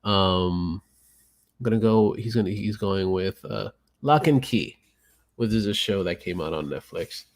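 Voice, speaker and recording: A man with a fairly low voice hosting a podcast. He talks loosely, full of "um" and "like", and swears freely as he recommends things. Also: measured pace. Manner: loose, profane, filler-heavy. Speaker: podcast host. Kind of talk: casual podcast chat.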